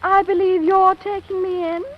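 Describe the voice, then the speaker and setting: A woman acting an excitable 15-year-old girl putting on grown-up airs, affected and giggly, with an American accent, in a 1940s radio comedy. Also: very high voice, moderate pace. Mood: affected, haughty. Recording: muffled, noisy old radio recording.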